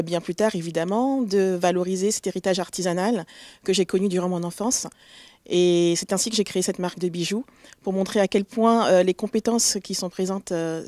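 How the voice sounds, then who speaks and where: Woman from togo, interview guest, halting speech full of fillers, dry close sound. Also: on the low side, dry recording. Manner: hesitant, many fillers, warm and proud. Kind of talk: interview answers.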